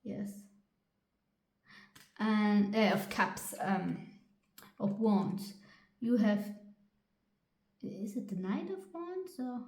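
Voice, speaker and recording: A woman with a German accent, a native German speaker, giving a tarot reading in a halting way with many pauses and restarts. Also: medium pitch, a little mellow, reverberant. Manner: hesitant, halting with frequent ums and restarts. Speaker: tarot reader.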